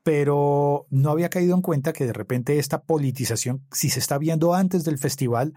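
A man's voice: on the high side, moderate pace, warm tone, no room sound, studio quality. A man with a Bogotá Colombian accent hosting a conversational podcast interview, telling things in a reflective, storytelling way. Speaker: podcast host.

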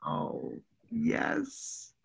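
An actor playing a playful, humble, apologetic and affectionate husband in a radio drama. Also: male voice, very slow. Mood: teasing.

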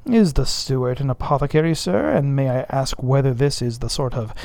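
A man reading aloud and voicing a blustering, indignant ship's mate who fires off loud, sputtering questions. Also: mid-range voice, lively intonation, moderate pace, dry recording, lightly acted. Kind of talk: audiobook character voice.

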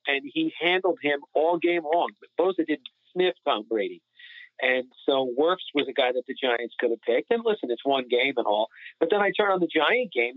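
A man's voice: medium pitch, no echo. A man giving emphatic, opinionated analysis in a casual sports podcast discussion. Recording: phone-like narrow-band sound.

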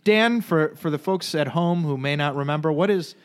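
A man's voice: fairly high pitch, normal speed, smooth. A man co-hosting a casual podcast chat, joking and rambling with frequent restarts. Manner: jokey, rambling, restarts often. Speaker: podcast co-host. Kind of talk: casual banter.

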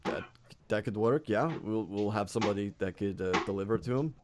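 A man hosting and narrating a tabletop game as dungeon master, slightly raspy from fighting a cold, casual with many fillers. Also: lowish voice, brisk, background noise. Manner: casual, with frequent fillers and restarts.

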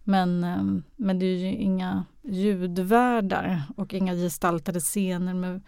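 A woman's voice: fairly low pitch, fairly even intonation, creaky, mellow, clear, resonant tone, dry recording, studio quality. A woman talking conversationally as a guest in a podcast interview. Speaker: studio guest from radio drama department.

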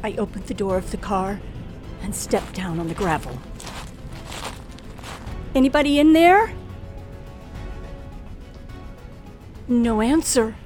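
A woman's voice: medium pitch, a little slow, pauses often, a little mellow, close to an everyday voice. An actress playing a nervous, frightened young woman driver who tells her ordeal in a breathless, suspenseful old radio thriller style. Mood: horrified. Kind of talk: old-time radio thriller acting and first-person narration. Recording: vintage radio drama with music underneath.

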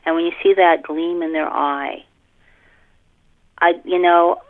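An older woman, a guest author chatting casually in a podcast interview, animated and self-deprecating, repeating words for emphasis. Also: fairly low pitch, slow. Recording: thin band-limited phone line.

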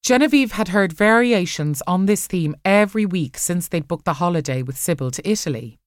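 Expressive, lowish-voiced woman narrating. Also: no echo, studio quality. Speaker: audiobook narrator. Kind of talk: expressive scripted story narration.

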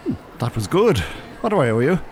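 An actor playing a bitter, pedantic older Irish retired English teacher in an audio drama, dry and well-spoken. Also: male voice, on the high side, big pitch swings, fairly quick, loud music behind the voice. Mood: awkward, polite.

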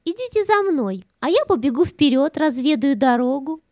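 A woman's voice: high-pitched, sing-song, normal speed, darkish tone, no echo, studio quality. A woman playing a sly, scheming cat with an insinuating, theatrical manner in a children's audio play. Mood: coaxing, sly. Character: sly, scheming female cat, spiteful and coaxing.

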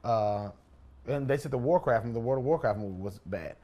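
A man's voice: mid-range voice, moderate pace, muffled recording. A man in a casual podcast chat while playing a video game, arguing his points and repeating them for emphasis. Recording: clearly noisy room recording.

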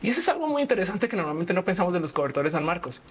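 A woman's voice: on the low side, very animated, racing, slightly rough. A woman born in Colombia hosting her own channel, explaining things in a chatty way and talking straight to her viewers. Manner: chatty, addresses viewers directly. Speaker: channel host. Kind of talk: informal explainer video.

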